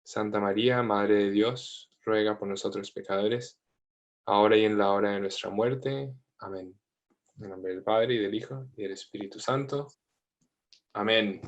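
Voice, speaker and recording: A priest in his thirties with a mixed Latin American and Spanish accent, praying and then talking gently and informally over a video call. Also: on the low side, steady tone, measured pace, dull audio. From United States, family from Colombia. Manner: gentle, informal, with frequent fillers.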